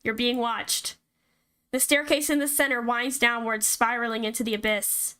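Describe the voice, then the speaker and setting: A woman streamer reading a horror visual novel aloud and reacting to it in an expressive, casually sweary way. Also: fairly high pitch, normal speed, clear, resonant tone. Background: game music.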